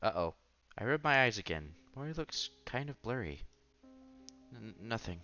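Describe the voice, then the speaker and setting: A man's voice: on the low side, big pitch swings, moderate pace, bright, forceful tone, muffled recording. A man hosting a casual read-aloud playthrough of a visual novel, reading narration and dialogue with occasional stumbles and side comments. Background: background music.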